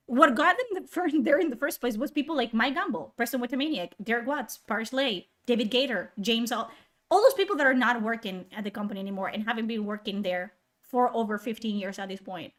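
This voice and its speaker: Woman streamer going off, sarcastic and sweary, kinda high voice. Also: expressive, a little fast, a bit bright. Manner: heated, sarcastic, profane. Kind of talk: ranting stream commentary.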